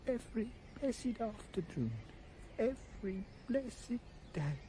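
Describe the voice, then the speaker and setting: A man's voice: high-pitched, big pitch swings, takes it slowly, mellow, slight background noise, heavily acted. An actor playing a weary, bitter middle-aged English shopkeeper who broods in disgust at his life, in a radio drama. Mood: despairing grumbling.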